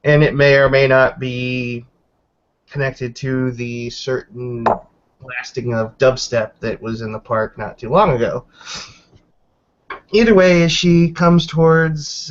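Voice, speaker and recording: Male roleplayer narrating, dull lo-fi sound. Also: mid-range voice. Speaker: tabletop roleplay player. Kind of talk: improvised third-person roleplay narration.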